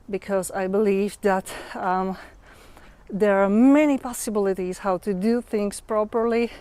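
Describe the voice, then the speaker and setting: A young adult woman with a Czech accent, a non-native English speaker hosting a casual livestream and often pausing to search for words. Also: medium pitch, a little mellow, smooth. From Czech Republic. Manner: hesitant, searching for English words with many fillers. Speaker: livestream host. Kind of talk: casual livestream chat with viewers.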